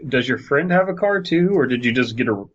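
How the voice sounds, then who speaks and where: A man's voice: medium pitch, animated, brisk, highs cut off. A man co-hosting a podcast, bantering casually and reading aloud with incredulous, mocking commentary. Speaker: podcast co-host.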